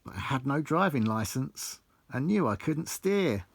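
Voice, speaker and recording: A man's voice: mid-range voice, big pitch swings, a little slow, vocal fry, breathy edge. A man reciting his own rhyming life story in a theatrical, blunt, sweary storytelling manner. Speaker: author reading own book. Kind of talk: recited rhyming autobiographical verse.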